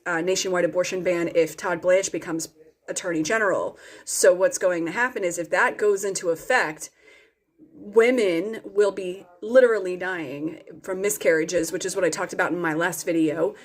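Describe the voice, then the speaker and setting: A woman with a slightly low voice lays out her views in an earnest, explanatory way. She talks casually and often pauses on ums and restarts her sentences. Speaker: political commentator. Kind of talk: casual opinion vlog.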